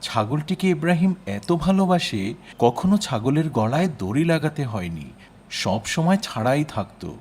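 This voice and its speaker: A man's voice: on the high side, big pitch swings, moderate pace, a little mellow, slight background noise. A man narrating a children's cartoon story. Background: music.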